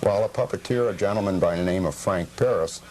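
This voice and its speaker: An American man with a low voice answers interview questions as a guest collector. He is factual and slightly formal, explaining things in a measured way. The sound is that of an old, slightly noisy television tape. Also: darkish tone. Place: television studio.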